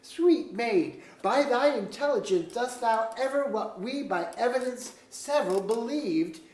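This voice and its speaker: A woman's voice: a little high, animated, measured pace, a little mellow. A woman playing a scheming, courtly earl in a staged reading of an archaic verse play, speaking in formal declamatory diction. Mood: persuasive, conspiratorial. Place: museum auditorium staged reading. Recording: echoey auditorium.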